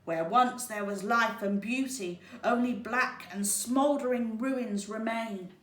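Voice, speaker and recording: A woman's voice: on the high side, takes it slowly, a little hiss or hum. A woman voicing a stern, squawking toucan in a children's storybook reading. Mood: forceful warning. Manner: squawking, forceful. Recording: roomy, distant microphone.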